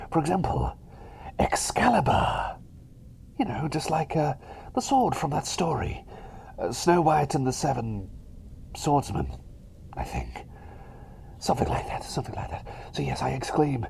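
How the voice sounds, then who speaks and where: A man playing a cheery, self-doubting plague doctor in an ASMR roleplay, whispering breathily and softly, with hesitant, repetitive rambling that seeks approval. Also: medium pitch, heavily acted. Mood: eager, rambling. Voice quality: breathy whisper mixed with soft voice. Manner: hesitant, rambling, repeats phrases, eager for approval.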